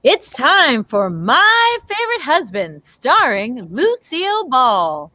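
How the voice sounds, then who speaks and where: A woman's voice: high voice, wildly swooping pitch, takes it slowly, bright, forceful tone, dry recording. A woman announcing and narrating an amateur old-time radio show in a classic announcer manner.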